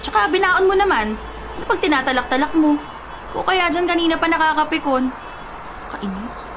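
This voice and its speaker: Sulky, high-voiced young Filipina racer Vera. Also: female voice, animated, moderate pace, background noise. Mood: irritated. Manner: snappy, sulky. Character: irritable, sleepy young female race driver Vera. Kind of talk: Filipino radio drama acting.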